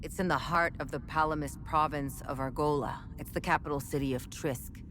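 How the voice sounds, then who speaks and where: An actress playing a chatty, wry military research scientist in a video game, explaining things in a measured, conversational way. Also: female voice, low-pitched, steady tone, a bit bright, slight background noise. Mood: wistful. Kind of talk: scripted game dialogue, conversational exposition.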